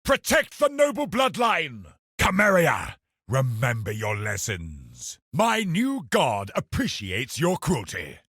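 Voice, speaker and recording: An actor playing a cruel, zealous military crusader, a video game boss who is haughty, commanding and menacing, with a gravelly, raspy voice. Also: male voice, medium pitch, huge pitch swings, slow, fairly bright tone, dry recording, studio quality, exaggerated voice. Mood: fierce, commanding. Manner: haughty, imperious, menacing, declamatory.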